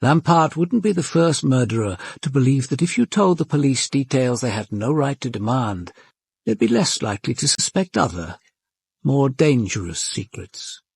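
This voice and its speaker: Husky, composed British police commander. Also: male voice, medium pitch, big pitch swings, darkish tone, no echo, exaggerated voice. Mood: grave, reflective. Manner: measured, formal, reflective. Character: composed, probing senior police commander, a male detective. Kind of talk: radio drama acting with first-person narration.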